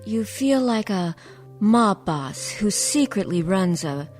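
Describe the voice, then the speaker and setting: A clinical psychiatrist in a comic radio play, played by a woman. She speaks at a medium pitch in precise, deadpan jargon. Beneath the professional poise she is plainly absorbed in herself. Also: slow, faint music behind. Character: clinical, self-absorbed psychiatrist treating a mobster.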